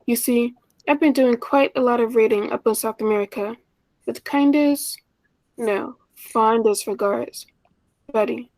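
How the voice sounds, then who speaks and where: A woman's voice: fairly high pitch, steady tone, unhurried, a little mellow, dry recording, low-quality recording. A woman acting an anxious, romantic schoolteacher in her mid-thirties in a 1940s style radio play, earnest and hesitant. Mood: tender, wistful.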